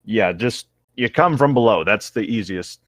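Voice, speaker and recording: A man's voice: lowish voice, expressive, moderate pace, fairly bright tone, no room sound. A young man chatting casually as a co-host, throwing in playful, joking interjections. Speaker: co-host reacting.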